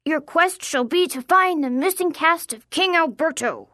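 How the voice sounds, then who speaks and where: A woman voicing a boy who plays a solemn, commanding knight in a storybook, proclaiming slowly and grandly. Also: sits high, lively intonation, sharp tone, no echo, clean studio sound, voice pushed up, full character voice. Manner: mock-grand, slow proclaiming.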